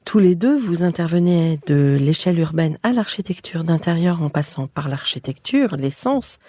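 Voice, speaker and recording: A woman hosting an architecture podcast interview, reading her introductions and questions in a poised, measured way. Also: lowish voice, expressive, dark tone. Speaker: podcast host.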